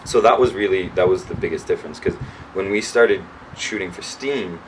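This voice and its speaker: A young man talks casually about a film shoot and acts out his own reactions as he tells it, circling back and restarting sentences. His voice sits a little low. He is picked up from some distance, with a steady hum behind him. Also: normal speed. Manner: lively storyteller, acts out his own past lines; many restarts and "like" fillers. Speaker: actor, interview guest. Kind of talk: casual chat. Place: indoor sit-down interview. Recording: distant mic with clear background hum.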